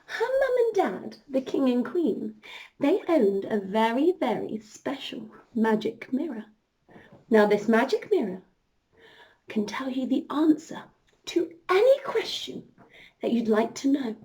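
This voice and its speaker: A woman telling an interactive story to young children as a princess entertainer, animated and encouraging, speaking directly to them. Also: sits high, a little slow.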